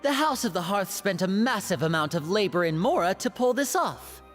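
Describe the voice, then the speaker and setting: A man's voice: high-pitched, lively intonation, normal speed. An actor playing a poised young male stage magician in a video game, polished, courteous and full of showmanship. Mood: gracious. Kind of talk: video game character acting. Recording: long hall reverb, music behind.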